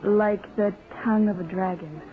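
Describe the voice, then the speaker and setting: A woman's voice: medium pitch, moderate pace, natural voice. An actress playing a flirtatious, bold female writer in a radio drama, teasing and languid in her delivery. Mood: playful. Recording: thin phone-like radio audio, music behind.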